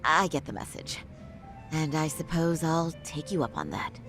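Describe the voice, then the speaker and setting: A woman voicing a reserved, dryly polite young lawyer in a video game English dub, measured and understated. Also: low voice, lively intonation, a bit bright, soft background music. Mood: reluctant.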